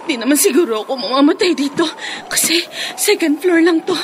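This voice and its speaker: Desperate, tearful, very high-voiced young woman. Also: animated, brisk, a little hiss or hum. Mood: desperate, fearful. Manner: pleading, tearful, talking to herself in distress. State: crying. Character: terrified, desperate young woman, abused overseas domestic worker. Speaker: radio drama actress. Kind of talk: Filipino radio drama acting.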